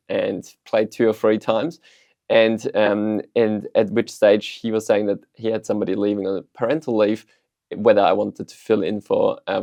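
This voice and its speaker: A man in his thirties, from Austria, talks through his career in a loose, rambling, storytelling way. His voice is low and gravelly, with a lot of fry. The sound is dry and close, with no room echo. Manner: relaxed storytelling, many ums and restarts. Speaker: startup CEO, guest. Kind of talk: casual interview answers.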